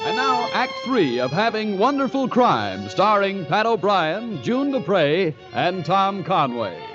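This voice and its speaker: A man's voice: high voice, sing-song, slow pace. A man announcing a 1940s radio show with a hearty, salesy delivery. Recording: dull old radio broadcast, faint music.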